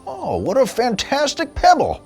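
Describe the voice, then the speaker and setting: A man's voice: very high-pitched, huge pitch swings, a little slow, a little mellow, faint music behind, pitched above the performer's own voice, exaggerated voice. A man voicing a loving, sad donkey father in a storybook, earnest and tender as he tries to be cheerful. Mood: wondering. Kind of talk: storybook character voice.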